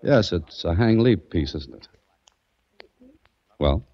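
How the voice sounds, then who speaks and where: A wry, deep-voiced adventurer. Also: male voice, animated, slow, dark tone, no room sound, heavily acted. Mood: curious, wry. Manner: wry, casual and probing. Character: male adventurer hero, curious and wry. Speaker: narrator and lead. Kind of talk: 1940s radio acting. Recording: dull dry old radio recording.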